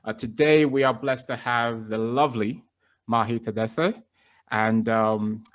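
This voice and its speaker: A man's voice: mid-range voice, measured pace. Chatty man hosting a podcast. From based in London. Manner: relaxed, chatty, many fillers and restarts. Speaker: podcast host and fitness coach. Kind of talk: casual interview chat.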